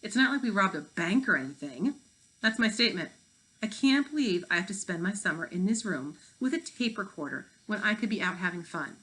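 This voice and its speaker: A woman's voice: mid-range voice, expressive, a little fast, fairly bright tone, natural voice, poor audio. Woman reading as a sulky fifth-grade girl. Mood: sulky, impatient.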